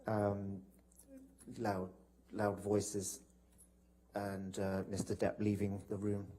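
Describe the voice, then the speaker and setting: A man giving testimony as a witness in a courtroom, hesitant and measured, with halting answers. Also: on the low side, completely flat delivery, dark tone. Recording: noisy courtroom broadcast feed.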